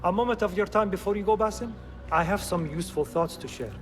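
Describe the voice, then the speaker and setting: A man performs a helpful, proud inventor for a game cutscene, in a somewhat high voice. He is formal, courteous and precise. Long reverb and clear music surround him. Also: quick pace, natural voice. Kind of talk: video game cutscene acting.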